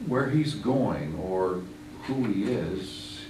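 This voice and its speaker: A man reading poetry aloud in a measured recitation style. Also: sits low, animated. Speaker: poetry reader. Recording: echoey distant room recording, clear noise.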